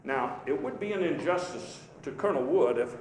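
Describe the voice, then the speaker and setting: Male actor as vigorous patriotic old statesman at the podium, live reenactment; high, animated oratory, folksy pauses, echoey room. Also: lightly acted. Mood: proud, emphatic. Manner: emphatic, folksy, halting with rhetorical pauses. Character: Theodore Roosevelt, vigorous patriotic elder statesman giving a speech. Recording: echoey live hall, faint background noise.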